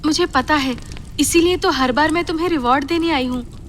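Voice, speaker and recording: A vengeful, seductive female ghost played by a woman for a horror audio drama. The voice is high and piercingly bright, theatrical and manipulative in its taunts. A noisy bed of insect ambience sits behind it. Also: fairly quick. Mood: seductive approval. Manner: theatrical, taunting, code-switches into English.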